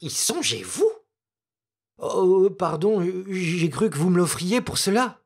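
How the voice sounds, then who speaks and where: A woman's voice: lowish voice, sing-song, slow, slightly rough, full character voice. A woman voicing a fussy, courteous, greedy little male gnome in a storybook tale. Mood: eager apology.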